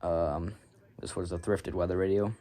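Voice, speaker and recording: A man's voice: low-pitched, flat delivery, moderate pace, dark tone. A man giving a casual, unscripted show-and-tell as a hobbyist, rambling in an offhand, self-deprecating way. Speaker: hobbyist showing a radio collection.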